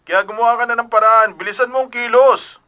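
A man's voice: very high voice, fairly even intonation, a little fast, pushed and bright, slight background noise. A male actor playing a menacing crime boss in a Filipino radio drama, sly and threatening, with a Filipino accent. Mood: cold, threatening.

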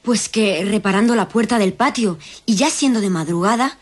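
Actress as husky, gossipy young companion. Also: female voice, medium pitch, normal speed, close to an everyday voice. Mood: gossipy, insistent. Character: Mademoiselle, impressionable, gossipy young female companion. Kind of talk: period radio drama dialogue.

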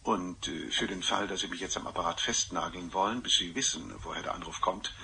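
A man's voice: low-pitched, fairly quick, pushed and bright, a little hiss or hum. An actor playing a composed, formal and cagey man confessing to a murder in a German radio play. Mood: polite, evasive.

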